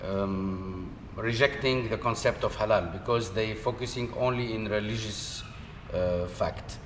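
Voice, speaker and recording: A low-voiced, middle-aged man works through his answers in hesitant, non-native English, filling the gaps with "uh." He sounds as if he is in a large, echoing hall with long reverb. A steady wash of background noise sits under his speech. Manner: hesitant, halting, with frequent "uh" fillers. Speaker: interview guest. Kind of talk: conversational interview answers.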